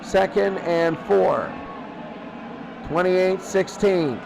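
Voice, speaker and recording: A man with a high voice gives live play-by-play of a football game in short, clipped calls, often repeating himself. The radio broadcast recording has clear noise running under his voice. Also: extremely slow. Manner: repeats phrases, clipped present-tense calls. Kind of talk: live radio sports commentary.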